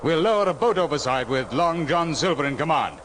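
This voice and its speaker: A man's voice: fairly high pitch, lively intonation, a little fast, a bit bright, a little hiss or hum. A man playing a stern, commanding ship's captain who gives clipped orders in a children's audio adventure. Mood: grave, commanding.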